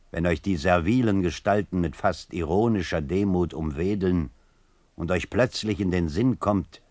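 A man's voice: deep voice, dry recording. A man giving a dramatic, declamatory reading of a literary text. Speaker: reader of a preface.

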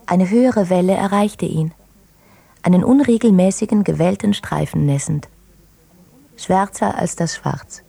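A woman reading literary prose as a scripted narration, with measured and deliberate phrasing. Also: lowish voice, gravelly, dark tone, slightly rough, no echo.

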